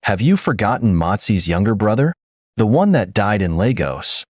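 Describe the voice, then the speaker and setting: A man's voice: fairly low pitch, very animated, normal speed, no room sound, studio quality. A man voicing a patient older queen in a read folk tale, explaining matters to her husband. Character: calm, wise older queen, gently teasing.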